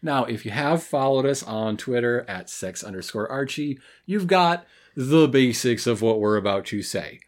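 A man chatting as a podcast co-host, with a mid-range voice that moves freely up and down. His delivery is casual and animated, full of teasing and little digressions. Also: medium pitch, moderate pace, few pauses. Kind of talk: casual bantering podcast chat.

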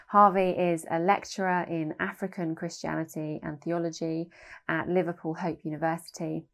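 A British woman hosting for a church, giving a prepared address in an earnest, measured way. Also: low voice, vocal fry. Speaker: church host introducing a guest.

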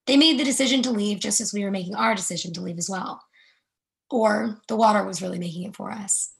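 A woman reading a monologue in a measured, reflective way about fleeing a flood. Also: mid-range voice, gravelly. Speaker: reader performing a monologue. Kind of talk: readers theatre monologue.

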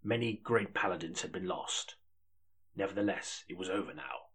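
A British audiobook narrator in a comedy. His voice is low and husky. He comes across as polite and a little hesitant, the sort who reads high fantasy with care. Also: animated, moderate pace, fairly bright tone, exaggerated voice. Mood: solemn narrating.

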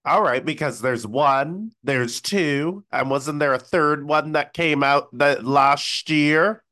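Slow, playful male radio host. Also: a little high, pushed and bright. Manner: playful, teasing, counts out sequels. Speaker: radio interviewer. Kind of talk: casual interview chat.

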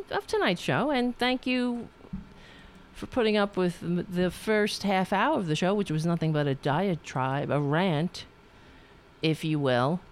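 A woman with a New York accent from Staten Island, hosting a political talk show in a rambling, profane monologue full of restarts. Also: on the low side. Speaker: podcast host.

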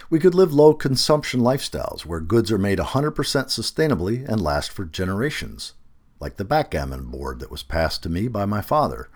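A man reading a written essay aloud as scripted narration, in an emphatic, expressive manner. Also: deep voice, slightly rough. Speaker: audio narrator reading a feature article.

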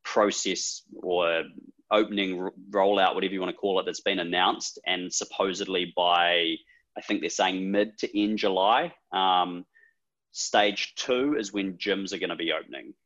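A New Zealand man with a very low voice hosts a relaxed podcast chat. He reasons aloud and circles his points, filling the gaps with "um" and "kind of." The call audio sounds dull and lo-fi. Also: moderate pace. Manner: thoughtful, rambling with fillers. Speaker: podcast host. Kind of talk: casual conversational podcast.

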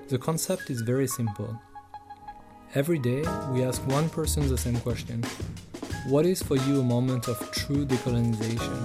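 A man hosting a podcast, reading a scripted intro and then interviewing a guest haltingly, with many filler pauses. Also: medium pitch. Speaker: podcast host.

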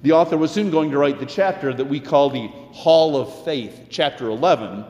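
A male pastor delivering a sermon in an earnest, emphatic way, with deliberate pauses and repeated phrases. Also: on the high side, a little mellow. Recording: echoey, reverberant church sound.

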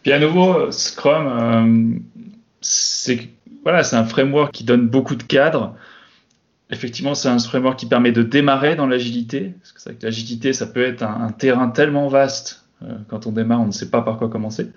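A man talking about his work as a Scrum Master in a relaxed podcast chat, thinking aloud with many pauses and restarts. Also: mid-range voice, muffled recording. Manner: reflective, many hesitations and restarts. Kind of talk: casual podcast conversation.

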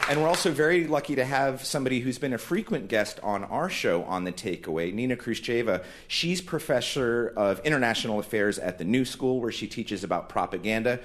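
A man's voice: mid-range voice, lively intonation, fairly quick, few pauses, fairly bright tone. A man moderating a live panel discussion, introducing and questioning guests as the host. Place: live event space before an audience.

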